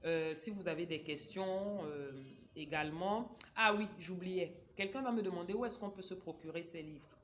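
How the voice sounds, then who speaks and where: A woman's voice: lowish voice, moderate pace, slight background noise. Chatty woman vlogger. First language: a Cameroonian local language. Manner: chatty, digressive, talks directly to viewers. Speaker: vlogger presenting books. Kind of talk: casual book-recommendation vlog.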